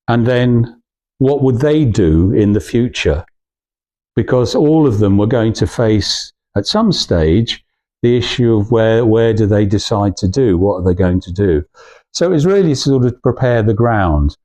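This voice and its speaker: A man's voice: fairly low pitch, lively intonation, measured pace, warm tone. Hesitant man giving an informal talk. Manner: hesitant, rambling, anecdotal, restarting phrases. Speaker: guest speaker at a club talk. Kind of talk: informal talk to a small audience.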